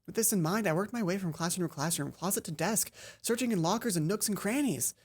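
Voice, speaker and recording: A man reading the first-person narration of a young female student in a game, hushed and suspenseful. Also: sits high, fast talker, husky.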